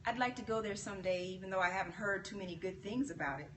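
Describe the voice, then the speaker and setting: A woman reading the lines of a playful, teasing young woman on a date, in a slightly softer voice. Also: mid-range voice, quick pace, recorded from a distance, slight background noise, close to an everyday voice.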